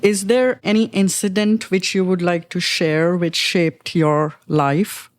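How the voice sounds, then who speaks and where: A woman's voice: lowish voice, fairly even intonation, takes it slowly. Friendly woman interview host. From India. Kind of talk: interview hosting.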